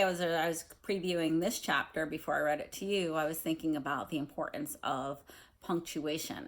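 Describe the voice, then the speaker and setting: A woman speaking as a teacher doing a read-aloud of a children's novel, explaining things in a careful, measured way. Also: deep voice, a bit bright. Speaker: teacher reading aloud to students.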